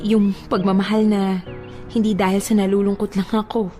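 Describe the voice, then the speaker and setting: An actress playing a grieving woman who earnestly and gently turns away her suitor in an emotional radio drama. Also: medium pitch, level tone, moderate pace, lightly acted. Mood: heartfelt, hesitant. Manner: pleading, earnest, heartfelt. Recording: music playing behind the voice.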